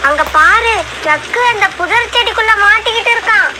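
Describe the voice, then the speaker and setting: A small, sensible young bird in a children's cartoon story. The voice is extremely high and childlike, with an earnest manner. Loud rainy background noise runs under it. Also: female voice, normal speed. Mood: worried. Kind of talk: animated children's story voice acting. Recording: noisy track with loud rain ambience.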